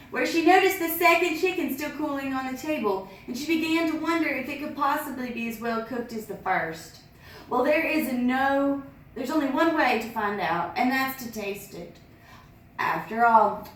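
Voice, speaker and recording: A woman's voice: high voice, normal speed. Woman reading a story in an echoey room. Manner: lively, sing-song sound effects. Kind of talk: reading a picture book aloud. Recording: echoey room, distant mic.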